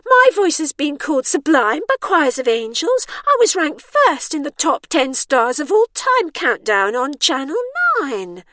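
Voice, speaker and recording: A woman's voice: high-pitched, big pitch swings, a little slow, few pauses, fairly bright tone, dry recording, clean studio sound, voice pushed up, heavily acted. A woman voicing a vain, entitled singing starlet in an audiobook, dazed and petulant, with an accusing, aggrieved manner. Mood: aggrieved, boastful. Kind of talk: audiobook character voice.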